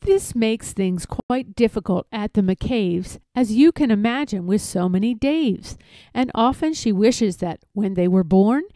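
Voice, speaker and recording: A woman reading rhyming children's stories aloud in an expressive, theatrical storytelling manner. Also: medium pitch, unhurried, dry recording. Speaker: storybook reader.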